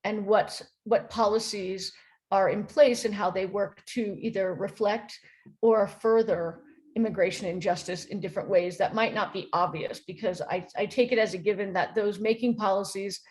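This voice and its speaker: A woman from the United States with an American accent, giving a conference panel talk as a philosophy professor, friendly and halting, with many ums and restarts. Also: mid-range voice, steady tone, a little mellow, low-quality recording.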